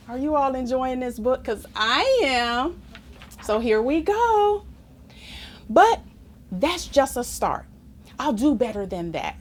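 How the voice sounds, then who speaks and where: A woman reading a children's story aloud in an expressive, playful, theatrical manner, as if to young listeners. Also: fairly high pitch. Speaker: story reader.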